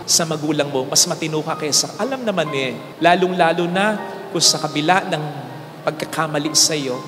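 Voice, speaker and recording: Filipino priest, handheld mic, high voice, church reverb. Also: male voice, normal speed. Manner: animated preaching, switching between Tagalog and English. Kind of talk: homily. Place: large church sanctuary, indoors. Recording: hall reverb with faint music.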